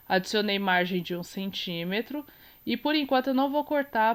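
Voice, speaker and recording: A Brazilian woman teaches sewing in an unhurried, measured way, her voice stuffy and nasal from a cold. Beneath the congestion her tone stays smooth and clean. She talks through each step as she works, fixing small slips as she goes. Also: mid-range voice. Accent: Brazilian Portuguese. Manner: calm, explains while working, small self-corrections.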